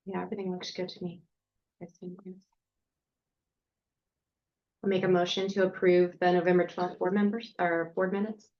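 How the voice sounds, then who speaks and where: A woman board member leads a vote over a video call, appreciative and thankful. Her voice is a bit low. The audio is dull and muffled, as on a call. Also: level tone, moderate pace, pauses often.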